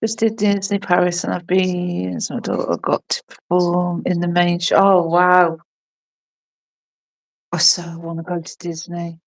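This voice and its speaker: A woman in her forties chatting casually, her voice sitting a touch low for a woman and a little away from the microphone. She rambles excitably from one thought to the next. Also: unhurried, vocal fry, distant mic. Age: 40s. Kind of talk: casual chat.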